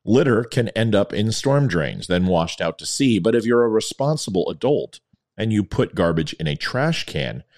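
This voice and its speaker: Guy doing an opinion show monologue, lowish scratchy voice, kinda theatrical and punchy. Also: sing-song, moderate pace, darkish tone. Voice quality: slightly scratchy, worn voice. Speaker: host of a commentary show. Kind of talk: opinion commentary monologue to an audience.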